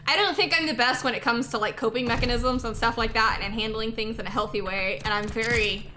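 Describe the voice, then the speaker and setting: A young adult woman streaming a game and chatting with viewers, slightly hoarse, talking in a loose, rambling way full of "like" and repeated thank-yous. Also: fairly high pitch, a little fast, bright, forceful tone, a little hiss or hum. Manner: chatty, many filler likes, repeats thanks. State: a bit hoarse, sore throat. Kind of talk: casual chat while gaming.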